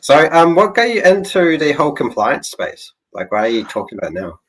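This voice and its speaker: A man hosting an interview, speaking in a relaxed, chatty way with fillers. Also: on the high side, fairly quick, low-quality recording. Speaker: host. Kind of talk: casual interview chat.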